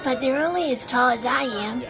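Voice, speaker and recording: A woman playing a high-voiced, trusting little boy. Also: fairly quick, vocal fry. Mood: hopeful, pleading. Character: trusting, polite, hopeful young boy. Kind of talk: audio drama acting.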